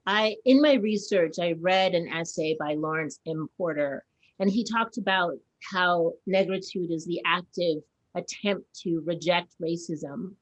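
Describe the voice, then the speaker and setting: A woman's voice: fairly low pitch, low-quality recording. A Haitian-born woman who works as a theater director and actor, speaking earnestly in a panel conversation.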